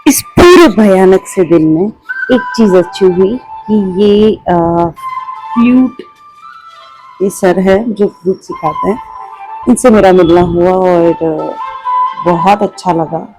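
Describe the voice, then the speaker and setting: A woman's voice: fairly low pitch, slow pace, over music, rough recording. A woman narrating a personal vlog in a reflective way. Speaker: daily vlogger.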